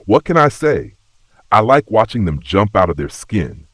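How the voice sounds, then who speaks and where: A man voicing a sly, mocking serpentine trickster who makes deals, with a calm, smug Australian drawl, in a narrated story. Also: fairly low pitch, normal speed, breathy edge, no echo, pitched above the performer's own voice, full character voice. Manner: drawling, purring, smugly calm.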